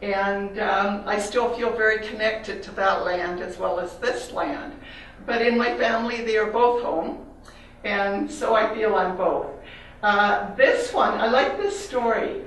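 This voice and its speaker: An older woman with a Canadian accent gives a reflective, personal slide talk about her artwork to an audience, pausing with fillers. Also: mid-range voice, fairly bright tone, slight background noise. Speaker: artist giving a talk. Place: large echoey room. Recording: very echoey hall from a distance.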